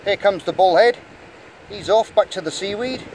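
A man's voice: high-pitched, moderate pace, rough recording. Chatty man on a windy beach. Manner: chatty and enthusiastic, quietly talking while handling creatures. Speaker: channel host. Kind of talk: casual nature vlog narration. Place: rocky beach rock pools outdoors. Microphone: camera held in hand at the shore. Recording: outdoor wind noise on mic.

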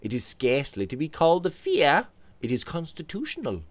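A man voicing an earnest, persistent young gentleman who presses his friend with questions. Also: fairly high pitch, huge pitch swings, brisk, no room sound, pitched above the performer's own voice. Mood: insistent. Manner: pressing, fussing.

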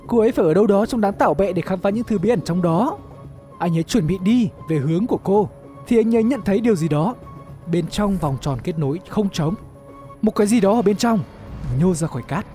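A man narrating an animated explainer series as its host, in a scripted storytelling style. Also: high-pitched. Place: voice-over recorded close, with music behind.

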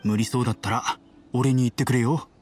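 A man playing a kind, steady middle-aged villager in an anime game, calm and neighbourly. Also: mid-range voice, brisk, faint music behind, close to an everyday voice. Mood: calm, caring. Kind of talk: Japanese anime game voice acting.

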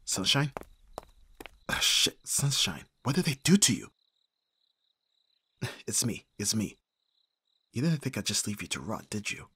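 A man's voice: on the low side, big pitch swings, unhurried, long pauses, bright, forceful tone, breathy edge, full character voice. A man playing an abrasive but caring bodyguard in an audio roleplay, speaking with exasperated urgency and sighs. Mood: shocked relief. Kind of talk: ASMR audio roleplay acting.

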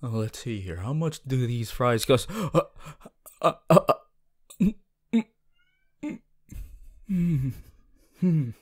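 Guy doing a goofy young superhero student, boomy and theatrical, slow drawn out words, big pitch swings, roleplay audio. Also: lively intonation. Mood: delighted, flustered. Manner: booming theatrical boasts, drawn-out exclamations, hesitant stammered asides. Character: young cheerful aspiring superhero student, boisterous, earnest and goofy. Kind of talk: ASMR audio roleplay addressed to listener.

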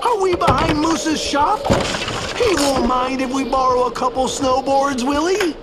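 A man's voice: very high-pitched, a little slow, heavy background noise. A man playing a goofy, cowardly, food-obsessed young slacker with slangy, drawling hippie talk in a cartoon game. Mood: nervous, goofy. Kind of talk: animated cartoon game acting.

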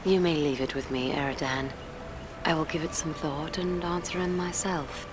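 An actress playing a serene, courteous young elf noblewoman in a fantasy game, speaking in formal, measured phrases. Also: deep voice, steady tone, noisy background, natural voice. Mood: gentle, gracious. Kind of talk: scripted fantasy game dialogue. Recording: loud music behind the voice.

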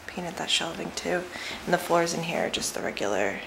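A woman's voice: lowish voice, lively intonation, brisk, vocal fry. Young woman rambling on her vlog, handheld cam, bare echoey rooms, some background noise. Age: young adult. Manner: chatty, rambling with fillers. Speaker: vlogger. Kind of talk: casual vlog. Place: empty house with bare rooms, indoors. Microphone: handheld camera at arm's length. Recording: echoey empty room, audible noise.

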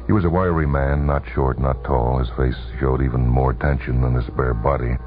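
A man narrating a literary story for radio with dramatic, deliberate phrasing. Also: very deep voice, steady tone. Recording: tinny vintage radio broadcast, music behind. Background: music.

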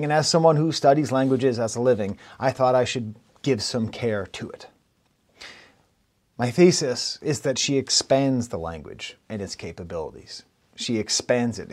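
A man's voice: mid-range voice, darkish tone. A man in his forties from the Northeastern US, giving a wry, self-deprecating video essay from a home study with bookshelves. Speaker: music reviewer and language academic.

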